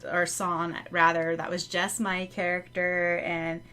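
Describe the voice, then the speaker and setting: A woman's voice: lowish voice, slow. A woman co-hosting a podcast at home, telling stories with giggles and lots of "like". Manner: giggly, animated storytelling. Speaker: podcast co-host. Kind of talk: casual chat.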